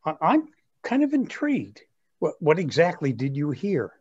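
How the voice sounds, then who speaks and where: In slightly lo-fi sound, a self-absorbed grandson in his twenties played by a man talks with eager earnestness. His intonation is very lively, suited to a comic stage reading. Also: a little high, normal speed, husky, full character voice. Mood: puzzled, curious. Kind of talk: reader's theatre comedy.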